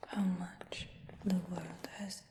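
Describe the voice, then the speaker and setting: A woman voicing a calm, scheming female dragon hunter in an ASMR fantasy roleplay, in a slow, soft whisper. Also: lowish voice, noisy background. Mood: softly menacing. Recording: reverberant whisper with long echo.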